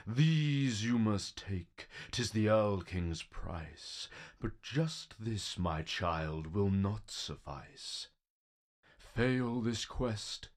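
An actor playing a grim, sage stone being in an audio play, portentous and formal in manner. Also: male voice, on the low side, heavily acted. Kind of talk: theatrical audio play acting.

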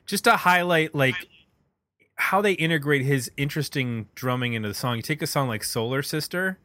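Man, bright voice, dry sound, casual podcast chat, analytical, loose hesitant phrasing. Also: fairly high pitch, moderate pace, no echo. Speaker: music podcast host. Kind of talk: casual album discussion.

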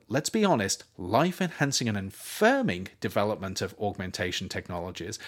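A man narrates a scripted essay in the manner of an author reading a book aloud. His mid-pitched voice moves through a wide, animated range, with measured phrasing and clear emphasis on key words.

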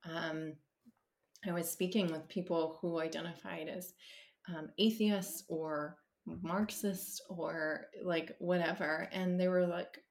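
Woman, lowish voice, chatty, rambling, lots of fillers and restarts, laughs often. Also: fairly even intonation, slow. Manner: laughs easily. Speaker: therapist, podcast guest. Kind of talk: casual conversation.

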